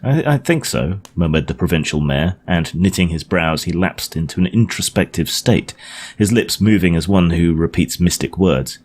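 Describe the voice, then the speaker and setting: A man's voice: deep voice, normal speed, a little mellow. A man narrating classic fiction as a scripted audiobook. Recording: noisy home recording.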